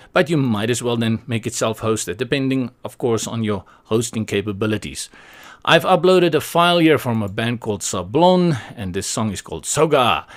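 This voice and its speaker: A man narrates a software tutorial as its host. His pitch sits in the middle range for a male voice. He explains things conversationally, adding casual asides as he goes.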